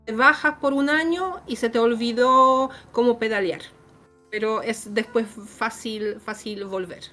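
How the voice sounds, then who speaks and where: A middle-aged Polish woman with a Polish accent and Chilean Spanish habits, speaking as a guest author in a casual interview and pausing often with fillers and restarts. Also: on the high side, fairly bright tone. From Poland. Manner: halting, many fillers and restarts.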